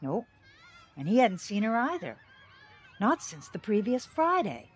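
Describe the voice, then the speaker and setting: A woman's voice: medium pitch, very animated, unhurried, slightly rough. An actress playing a bitter middle-aged small-town teacher and mother, giving wry, theatrical testimony in an audio drama. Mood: wry, resentful.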